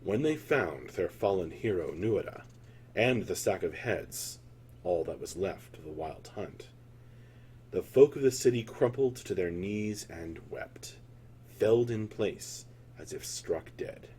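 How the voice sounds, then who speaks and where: A man's voice: deep voice, lively intonation, unhurried, a little mellow, slight background noise. A man narrating a scripted fantasy tale as a storyteller, in a solemn, theatrical manner.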